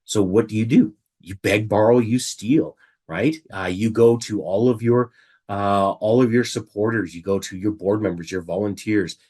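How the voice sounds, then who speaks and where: Raspy-voiced man presenting from home. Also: fairly low pitch, normal speed, a little mellow. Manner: chatty, asks rhetorical questions. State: raspy voice from illness. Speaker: webinar presenter. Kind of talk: casual presentation.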